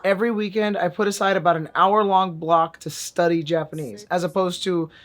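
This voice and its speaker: A man's voice: high-pitched, fairly even intonation, fairly quick, fairly bright tone. A man vlogging a casual app review in a wry, joking way, with sudden emphatic bursts. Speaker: VR fitness and language vlogger.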